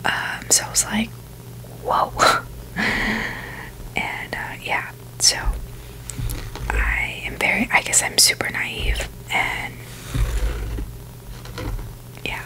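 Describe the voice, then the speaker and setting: A woman's voice: drawn-out words, pauses often, bright, forceful tone, rough recording. A woman whispering breathily in a rambling, informal ASMR chat, hesitant and full of "like" and "you know". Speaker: ASMR video creator.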